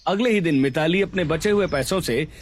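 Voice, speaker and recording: A man's voice: fairly high pitch, a little fast, slight background noise. A man narrating a scripted cartoon family story.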